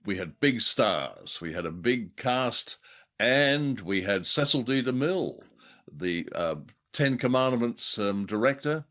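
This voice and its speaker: A middle-aged or older man co-hosting a show, casually discussing old-time radio in an animated, halting way. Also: medium pitch. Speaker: podcast co-host.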